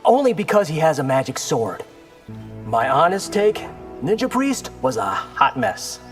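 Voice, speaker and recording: An actor playing a wry, defiant, earnest young ninja warrior in a video game. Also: male voice, a little high, very animated, measured pace, soft background music.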